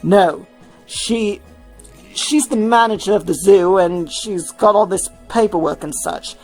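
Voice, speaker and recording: A woman's voice: mid-range voice, a little slow, darkish tone, soft background music. A woman voicing an easygoing young male rock star in a story reading. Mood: doubtful, concerned.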